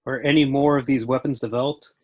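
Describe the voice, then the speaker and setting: A woman reads a blunt military team member in a dramatic script. The voice is extremely low for a woman and rough-edged. The delivery is terse and probing. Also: steady tone, quick pace, lo-fi sound. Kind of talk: dramatic script table read.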